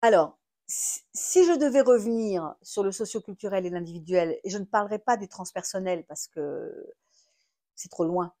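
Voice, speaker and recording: A woman's voice: mid-range voice, normal speed, mellow. French woman teaching, rhetorical and meandering. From France. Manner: thinks aloud with many fillers and restarts, asks rhetorical questions. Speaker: astrotherapist and trainer. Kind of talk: explanatory teaching talk.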